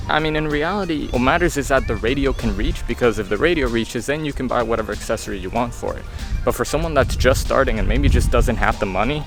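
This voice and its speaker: Casual guy in his 20s vlogging outside, mid pitched voice, music under it. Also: quick pace. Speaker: vlog host reviewing gear. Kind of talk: casual chat. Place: outdoors on a suburban street. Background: background music.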